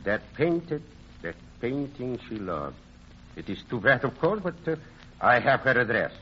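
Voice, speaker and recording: An old art dealer in a vintage radio drama, fond and teasing. His delivery is halting and very animated, with big swings in pitch. The recording is muffled, with a hiss behind it. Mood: gently hinting.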